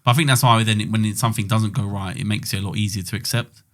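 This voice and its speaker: A man, co-hosting a podcast in relaxed, rambling, reflective chat. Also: on the low side, very fast. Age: 20s. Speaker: podcast co-host. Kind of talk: casual chat.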